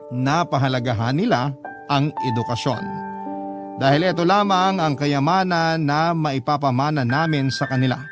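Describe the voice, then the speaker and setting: A man narrating a scripted first-person letter for a Filipino radio drama, earnest and sentimental. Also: fairly high pitch. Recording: clear music bed under narration.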